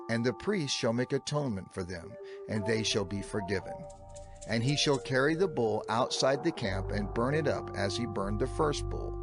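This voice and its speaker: A man narrating scripture for a dramatized audio production in a measured, solemn, declamatory manner. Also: mid-range voice. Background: music.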